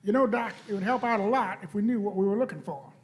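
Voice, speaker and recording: A brash young space traveler played by a man for a radio play. The voice is high for a man, with chummy, slangy, colloquial delivery. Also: fast, lightly acted. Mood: griping.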